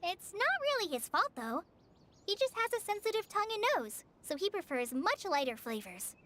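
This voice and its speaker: Very high, earnest shy teenage girl. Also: very animated, normal speed, pushed and bright, full character voice. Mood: chatty. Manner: hesitant, sincere. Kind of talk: video game voice acting.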